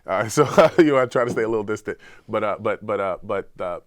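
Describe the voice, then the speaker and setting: Deep-voiced man with a cold. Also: fast, no room sound. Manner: earnest, repeats words while searching for them. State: has a cold, sniffling. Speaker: guest researcher. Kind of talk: podcast conversation.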